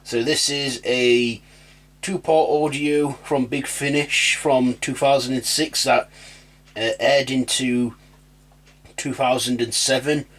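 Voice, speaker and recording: A man with a medium-pitched voice hosts an informal review. He talks off the cuff in a hesitant, halting way. Filler phrases break up his sentences as he goes. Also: steady tone, fairly bright tone. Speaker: review channel host. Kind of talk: casual unscripted audio review.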